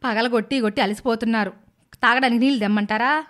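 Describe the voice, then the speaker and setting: A stern middle-aged aunt , played by a woman, in a cartoon moral story. She scolds with measured, mock-sweet sarcasm and hands out threats like a boss of the house. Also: fairly high pitch, fairly even intonation, fairly bright tone, close to an everyday voice. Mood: stern, sarcastic.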